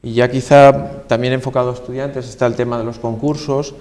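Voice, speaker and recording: A man with an accent from Spain giving halting, explanatory answers in a conversational interview. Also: medium pitch, little pitch movement, darkish tone. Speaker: university research deputy director, interviewee. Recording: long hall reverb.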